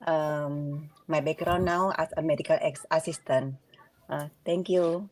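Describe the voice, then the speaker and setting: A woman from Indonesia introducing herself on a panel, quiet and hesitant. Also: low voice, steady tone, a little slow, no room sound. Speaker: panel guest, medical assistant.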